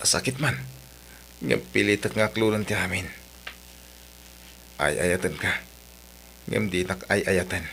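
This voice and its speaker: A devoted young man in an Ilocano radio drama. His voice sits on the low side, and he is a long-suffering lover and dutiful son with an Ilocano accent. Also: unhurried, gravelly, long pauses, a bit bright, slight background noise, exaggerated voice. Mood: hurt, subdued.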